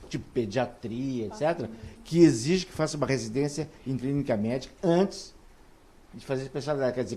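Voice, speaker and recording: A man moderating a conference panel, halting and repeating words as he talks. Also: mid-range voice, mellow, breathy edge. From southern Brazil. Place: large auditorium stage.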